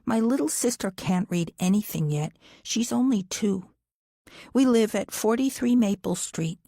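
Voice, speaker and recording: A woman's voice: medium pitch, lively intonation, measured pace, warm tone, no echo, studio quality, pitched above the performer's own voice. A woman voicing a chatty, cheeky small boy for an audiobook. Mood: curious, chatty. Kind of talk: audiobook character voice.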